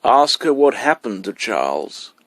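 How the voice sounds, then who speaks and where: A man voicing a dry, cynical father in a storybook reading, measured and wry. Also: mid-range voice, big pitch swings, fairly bright tone, dry recording. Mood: curious.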